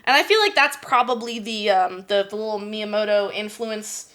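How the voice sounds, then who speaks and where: A woman's voice: mid-range voice, normal speed, fairly bright tone. A woman chatting casually on a podcast as a guest, with an emphatic, slangy way of talking. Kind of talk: casual chat.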